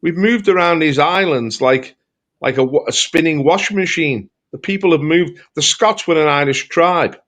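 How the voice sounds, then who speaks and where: A man's voice: a little high, moderate pace, fairly bright tone. A man with a Liverpool accent speaking as a political commentator in an impassioned discussion, emphatic and rhetorical, repeating phrases for effect. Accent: Liverpool Scouse. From Liverpool, England.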